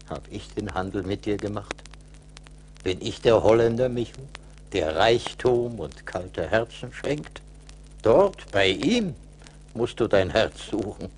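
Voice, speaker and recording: Slow, solemn old forest spirit. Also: male voice, fairly low pitch, sing-song, breathy edge, heavily acted, poor audio. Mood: scornful. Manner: solemn, deliberate, admonishing. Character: stern but pitying little ancient male forest spirit. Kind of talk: 1980s German radio play acting. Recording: faint hiss of old vinyl record.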